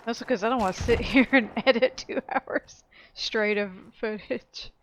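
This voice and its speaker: A woman's voice: fairly high pitch, normal speed. A woman giving a casual, playful game walkthrough in an informal, slangy way. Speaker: gaming video host. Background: faint game music.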